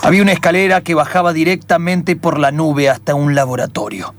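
A man narrating an improvised radio play in dramatic, halting phrases. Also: a little high, slight background noise.

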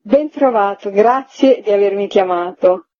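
A woman gives explanatory interview answers as an artistic director, speaking very slowly and pausing to search for words. Her voice sits a little high, and the sound is dry and slightly muffled. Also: no room sound.

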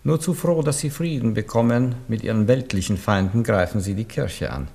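An actor playing a shrewd, stern old papal legate in a radio play, speaking in a measured, solemn way. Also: male voice, medium pitch, animated, gravelly, warm tone. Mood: grave. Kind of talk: 1970s radio play acting.

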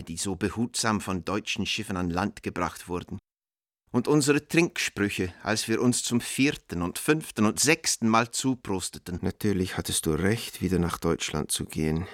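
A middle-aged bachelor art dealer with a low voice, recorded very dry. He is warm and nostalgic, speaking his letters in expressive, literary phrases for a radio drama. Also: dry recording. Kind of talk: radio play letter monologue.

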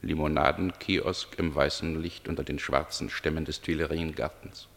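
A man reading scripted literary narration for a radio play in a measured, observational way. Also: extremely low pitch, level tone, creaky, long reverb tail.

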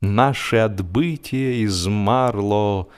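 A man narrating a humorous literary story for an audiobook in an expressive, measured, theatrical way. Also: lowish voice, clear, resonant tone.

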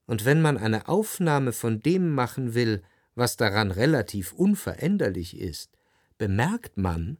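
An actor playing a reflective literary narrator in a radio drama, speaking in a measured, contemplative way. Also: male voice, medium pitch, no room sound, studio quality, exaggerated voice. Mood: analytical. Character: reflective, analytical literary narrator of Parisian society.

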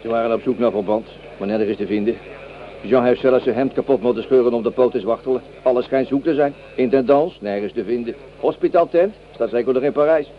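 Wry soldier played by a man, medium-pitched voice. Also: fairly quick, natural voice. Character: wry, matter-of-fact male French soldier among comrades. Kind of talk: Dutch radio drama acting. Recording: dull lo-fi radio play recording.

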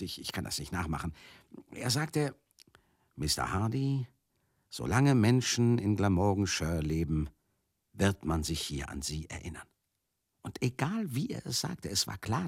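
An actor playing a rambling, excitable manager who reminisces in a confiding, theatrical monologue for a radio play. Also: male voice, fairly low pitch, husky, no room sound, studio quality, heavily acted. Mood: awed, earnest. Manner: conversational, confiding, theatrical, halting and then bursting out. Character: rambling, excitable manager reminiscing wistfully about old glory days.

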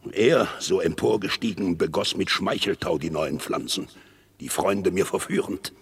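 A gravelly, bitter scheming general. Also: male voice, sits low, moderate pace. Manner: bitter, accusing verse delivery. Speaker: actor in radio play. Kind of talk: classical verse drama, radio play.

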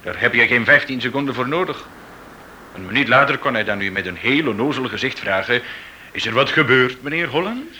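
A man's voice: medium pitch, lively intonation, brisk, sharp tone. An actor playing a forward, cocky man in a radio drama, theatrical and insinuating in manner. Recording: noisy old radio play recording.

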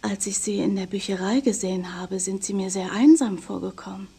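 An actress playing a seductive, mysterious, self-assured woman in a crime radio play, with breathy, teasing, coaxing delivery. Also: mid-range voice, fairly even intonation, fairly quick, mellow, slight background noise, lightly acted. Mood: soft, insinuating. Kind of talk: 1990s German crime radio play acting.

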